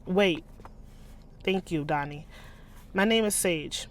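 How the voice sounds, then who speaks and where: A woman reading the lines of a captive woman in a thriller novel, soft, polite and pleading. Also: fairly low pitch, slow pace, slight background noise.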